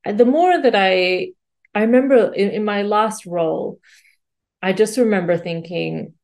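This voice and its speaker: Lowish gravelly woman in her 40s, from singapore, chatting as a podcast guest over a call, lots of um and you know. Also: darkish tone. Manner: reflective, with frequent fillers and restarts. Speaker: guest, life and career coach. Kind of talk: conversational storytelling.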